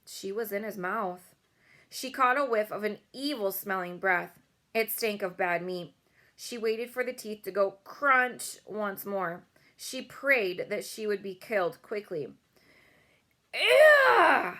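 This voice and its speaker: A woman's voice: medium pitch, measured pace, bright, forceful tone. A woman narrating a children's story as a read-aloud. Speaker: storybook reader.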